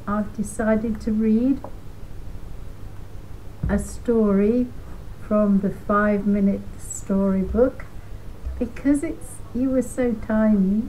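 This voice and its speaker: An older woman reading a children's storybook aloud in a deliberate, gently emphatic way. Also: on the high side, slight background noise, rough recording.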